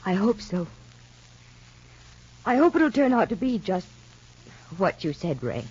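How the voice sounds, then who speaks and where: A nervous young mother who can't shake a nameless fear, her husky voice halting and soft. It comes through a dull, lo-fi old radio recording, the pitch sitting in an ordinary middle range. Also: sing-song. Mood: worried. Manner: hesitant, worried, soft-spoken. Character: anxious young mother fearing for her son. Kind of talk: 1940s radio soap acting.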